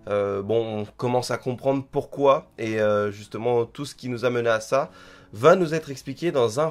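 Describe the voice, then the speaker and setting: Male manga reviewer with a cold, stuffy-nosed, mid-pitched, rambling and thinking aloud. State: has a head cold, stuffy. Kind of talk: casual spoken chapter review.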